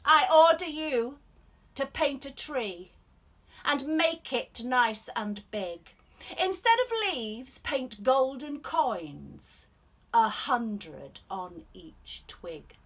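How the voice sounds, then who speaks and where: Woman acting a loud, bellowing greedy emperor. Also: high voice, sing-song, drawn-out words, exaggerated voice. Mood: commanding. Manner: bellowing, commanding. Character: bullying, greedy, powerful male emperor.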